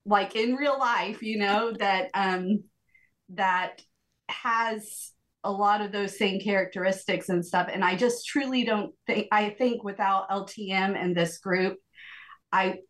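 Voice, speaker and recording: A woman's voice: medium pitch, slow, fairly bright tone. A woman giving a reflective, earnest personal account in a group conversation, searching for her words as she goes. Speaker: group member sharing testimony.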